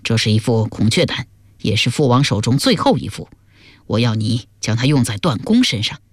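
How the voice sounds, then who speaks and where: Scheming royal father, middle-aged male actor, historical audio drama: husky, commanding, persuasive, very dry sound. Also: medium pitch, very animated, normal speed, no room sound. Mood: urging.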